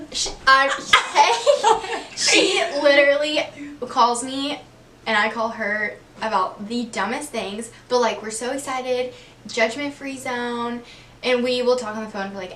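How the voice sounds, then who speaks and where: Young woman, twenties, from Texas, higher voice, casual rambling chat, faint background hum. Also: fairly bright tone, reverberant, far from the mic. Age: 20s. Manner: chatty, lots of "like" and "um", laughs often. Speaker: vlogger. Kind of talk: casual get-to-know-me chat.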